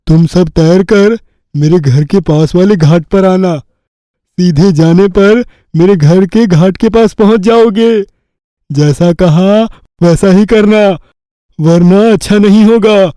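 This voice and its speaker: A man voicing a foolish, cocky village boy in a Hindi cartoon story, self-satisfied and slow-witted. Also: sits high, mellow, no room sound. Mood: smug.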